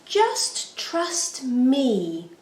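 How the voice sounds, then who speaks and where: A woman voicing a sly, deceitful storybook serpent who coaxes in a slow, insinuating whisper. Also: sits high, huge pitch swings, darkish tone, full character voice. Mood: coaxing. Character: sly tempting serpent, male, coaxing and deceitful. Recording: roomy, echoing sound.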